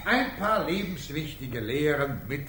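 An actor playing a pompous master of ceremonies in a 1950s radio comedy, declaiming ceremoniously. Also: male voice, fairly high pitch, sing-song, measured pace. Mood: grandly festive. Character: pompous male master of ceremonies at a wedding banquet. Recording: echoey 1950s studio banquet scene. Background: noisy party crowd.

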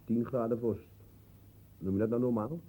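An actor playing an easygoing but stubborn working-class lodger in a radio drama, offhand and husky. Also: male voice, medium pitch, fairly even intonation, normal speed, warm tone, close to an everyday voice. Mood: grumbling, offhand. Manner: laconic, offhand.

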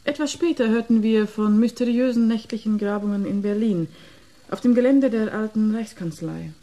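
A cool, determined agent in a radio drama, acted by a woman. The voice is mellow and mid-pitched, and the delivery is composed, businesslike and assertive. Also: moderate pace, lightly acted, low-quality recording. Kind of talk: German radio play acting.

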